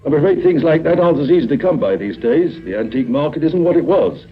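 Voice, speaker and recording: A man's voice: on the high side, lively intonation, fast, close to an everyday voice. Guy acting big english police inspector, polite but needling, mid voice with lots of up and down, dull vintage radio sound. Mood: polite, insinuating. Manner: polite, wryly insinuating. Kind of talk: 1950s radio drama acting. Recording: muffled vintage 1950s radio recording.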